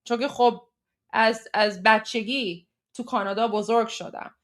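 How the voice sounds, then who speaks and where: Canadian woman, stream host, quick, higher voice, chatty filler-heavy talk. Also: steady tone. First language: Persian. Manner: chatty, many fillers like "like" and "you know". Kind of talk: casual chat with live chat viewers.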